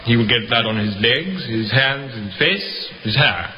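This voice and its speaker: An actor playing an insistent, methodical defence barrister with formal speech in a 1950s radio drama, on a dull, noisy recording. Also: male voice, medium pitch, animated, measured pace, lightly acted. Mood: probing, insistent.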